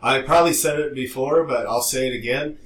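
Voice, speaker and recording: A man's voice: mid-range voice, brisk. A man co-hosting a podcast in casual, slangy talk. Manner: informal, slangy, mildly profane. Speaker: podcast co-host. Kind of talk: casual chat. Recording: echoey room, distant from mic.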